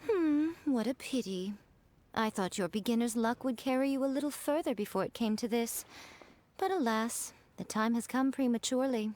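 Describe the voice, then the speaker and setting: A woman's voice: a little high, normal speed, darkish tone, a little hiss or hum, natural voice. A woman voicing a sly, elegant fox-spirit lady in a video game, self-assured and teasing, with a poised, condescending way of talking. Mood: mock regretful. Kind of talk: video game character voice acting. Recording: background music.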